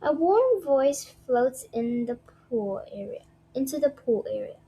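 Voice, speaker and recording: A woman's voice: sits high, big pitch swings, unhurried, warm tone, slightly rough, low-quality recording. A woman reading a children's storybook aloud, sometimes stumbling over her words. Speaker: storybook read-aloud presenter.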